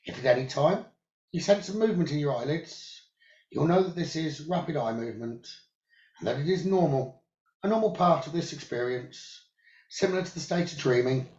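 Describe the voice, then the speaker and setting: A man leading a guided meditation in a slow, soothing instructional style, speaking in measured, hushed short phrases with pauses. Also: a little high, lively intonation, dull audio.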